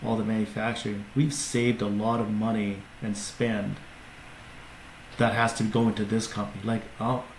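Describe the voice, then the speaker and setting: A man with a low, gravelly voice explains his company as a podcast guest, stopping and restarting his sentences. The room is echoey, with faint ambient noise behind him. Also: flat delivery, normal speed. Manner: explanatory, many restarts and fillers. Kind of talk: casual interview talk.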